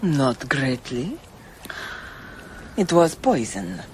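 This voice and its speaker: Brooding, fatalistic radio-drama conspirator; measured pace, swooping intonation, guilt in every line. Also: male voice, medium pitch, sing-song, darkish tone, slight background noise, poor audio. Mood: resigned.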